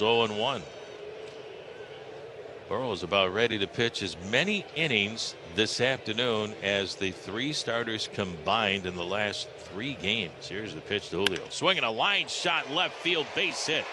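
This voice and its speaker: A man's voice: on the low side, expressive, normal speed. American man calling baseball, noisy broadcast. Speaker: baseball radio play-by-play announcer. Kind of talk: live play-by-play commentary. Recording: clearly noisy live ballpark radio broadcast.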